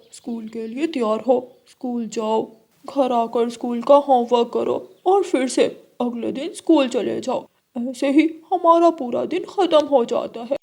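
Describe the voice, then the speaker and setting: A woman's voice: fairly high pitch, mellow. A woman voicing a cheeky young schoolboy crow in a cartoon, whiny and eager in a childlike way. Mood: complaining.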